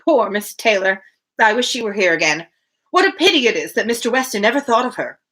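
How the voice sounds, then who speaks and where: Woman reading as a fretful older gentleman, swooping pitch. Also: very animated, brisk. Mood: mournful. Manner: plaintive, fussy, worrying. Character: nervous, fretful, gently selfish old widowed English father. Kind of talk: storybook reading of dialogue.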